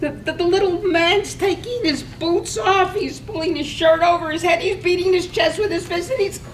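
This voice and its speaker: An actress playing a scandal-loving village wife in a stage reading of a poetic radio drama. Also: female voice, normal speed. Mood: entranced. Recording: echoey room, distant mic, faint rumble.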